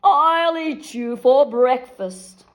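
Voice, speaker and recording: A woman voicing a huge, menacing storybook giant or troll, bawling and roaring with drawn-out words. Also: high voice, voice pushed up.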